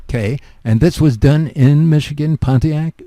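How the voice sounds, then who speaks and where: A man's voice: on the high side, moderate pace, mellow, dry recording. An older man hosting a radio interview, asking chatty, rambling questions full of asides. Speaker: radio show host.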